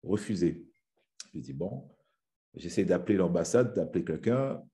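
A man's voice: deep voice, animated, moderate pace, warm tone. A man in his thirties from French Guiana, a fitness influencer chatting as a guest on a video-call podcast, restarting his sentences often. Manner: rambling with many restarts and fillers. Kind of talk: casual video-call interview. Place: small room at home. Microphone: webcam on a video call.

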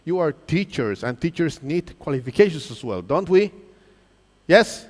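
A man's voice: fairly high pitch, normal speed. A man, presenting to a room of teachers and quizzing the crowd. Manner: lively, engaging, asks the crowd questions. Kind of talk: live quiz-style presentation to an audience. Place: large auditorium stage. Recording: reverberant hall sound.

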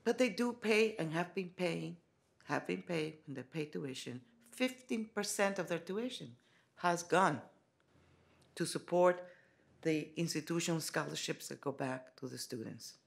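Gravelly-voiced woman, halting speech. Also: fairly low pitch, lively intonation. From Dominican Republic. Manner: halting, with many fillers and restarts. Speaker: college president. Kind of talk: forum address. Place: large college meeting room.

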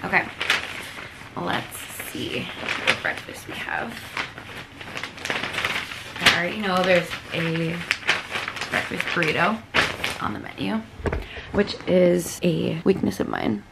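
A woman's voice: lowish voice, gravelly. A young woman vlogging casually to camera from a hotel bed, her voice scratchy, her talk chatty and gushing. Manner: chatty, gushing, filler-heavy. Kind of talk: casual vlog chat to camera. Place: hotel bedroom, sitting up in bed.

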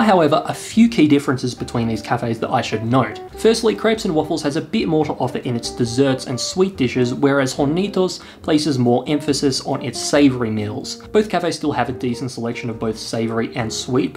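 A man's voice: mid-range voice, expressive, fairly quick, few pauses, soft background music. A young man with a chatty, self-deprecating manner, presenting a scripted travel review while seated indoors. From Australia. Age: young adult. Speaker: travel vlogger. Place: indoor room with brick wall and couch.

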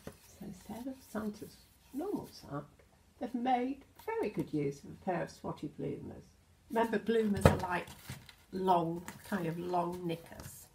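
A British woman in her sixties reads a children's picture book aloud, expressively, with gentle asides addressed to the children. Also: medium pitch, lively intonation, unhurried, background noise. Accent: British English. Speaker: storyteller, infant school teacher. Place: indoors in a home hallway.

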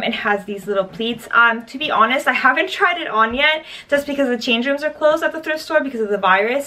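A bubbly young woman talks into her camera at home, showing off thrift finds in a gushing, chatty way. Her voice sits a little high, and she fills it with "like" and big praise. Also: fairly bright tone. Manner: gushing, chatty, full of "like" and "stunning". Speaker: vlogger. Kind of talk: casual haul vlog. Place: bedroom at home.

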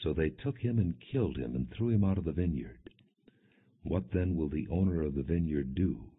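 A man narrating scripture as an audiobook in a solemn, measured, expressive storytelling manner. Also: extremely low pitch, husky. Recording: muffled phone-like lo-fi audio.

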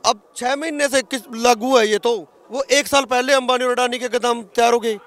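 A man's voice: extremely high pitch, steady tone, fairly quick, a bit bright. A man in his thirties with a Haryanvi accent, speaking emphatically and argumentatively in a street interview as a protesting farmer. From Haryana, India. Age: 30s. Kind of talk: street interview, impassioned political talk. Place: outdoors at a roadside protest camp. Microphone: handheld interview microphone.

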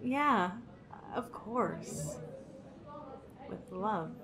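A woman voicing a polite, quietly possessive anime schoolgirl with a composed manner. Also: medium pitch, animated, extremely slow, a crowd in the background, voice pushed down. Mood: restrained politeness.

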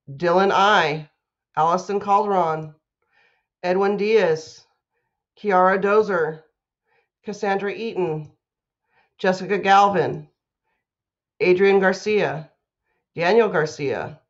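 School principal, female, unhurried delivery for young listeners, dull computer-mic recording. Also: lowish voice. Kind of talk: children's read-aloud and announcements.

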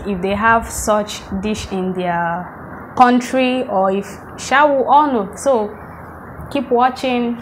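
A woman from Nigeria hosting her cooking channel, explaining steps and leading a casual food review at a home table. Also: mid-range voice. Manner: chatty, instructive, says "um" often. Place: home dining room, seated at a table. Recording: noisy home recording.

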